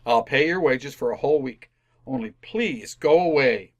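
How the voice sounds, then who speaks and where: A man's voice: medium pitch, expressive, normal speed, highs cut off, lightly acted. A man playing an exasperated, wordy family father in an old-time radio comedy, formal and sarcastic.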